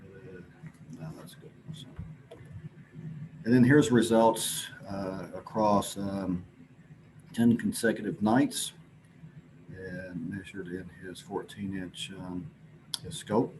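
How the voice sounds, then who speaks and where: A man presenting scientific slides informally, explaining in a halting, hesitant way with pauses. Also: deep voice, steady tone. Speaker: presenter at an astronomy symposium. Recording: clearly noisy recording with background ambience.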